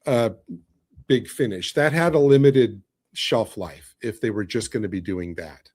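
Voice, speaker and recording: A man's voice: on the low side, a little slow, a little mellow. A man speaking as a podcast panellist in casual fan discussion, making his points with emphasis.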